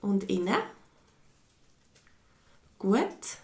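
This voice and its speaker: A woman's voice: medium pitch, sing-song. A Swiss woman with a mixed Swiss German accent, giving a patient online language lesson and answering viewers' questions. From Switzerland. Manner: slow, patient, reads viewers' comments aloud. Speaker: language teacher. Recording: echoey room.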